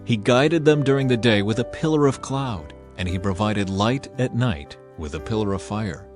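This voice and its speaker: A man's voice: lowish voice, lively intonation, normal speed. A man narrating scripture in a scripted, dramatized audiobook style. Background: music.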